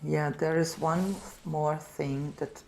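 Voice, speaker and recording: A woman in her fifties with a Serbian accent, calling in to a livestream and earnestly telling her story in halting, non-native English. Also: deep voice, fairly even intonation, vocal fry, darkish tone, slight background noise, low-quality recording. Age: 50s. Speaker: caller. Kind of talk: livestream call-in debate. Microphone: remote call audio.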